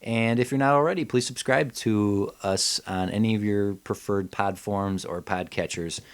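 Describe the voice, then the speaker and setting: A man's voice: on the low side, moderate pace. A man hosting a casual comedy podcast, joking and exclaiming as he chats. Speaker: podcast host.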